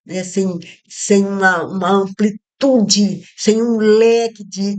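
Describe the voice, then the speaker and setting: Chatty woman from northeastern Brazil. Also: medium pitch. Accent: Northeastern Brazilian Portuguese. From Rio Grande do Norte, Brazil. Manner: chatty, digressive, many fillers and restarts. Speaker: Bible study teacher. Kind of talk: informal Bible study talk. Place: home, not a studio, with street traffic outside. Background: soft background music.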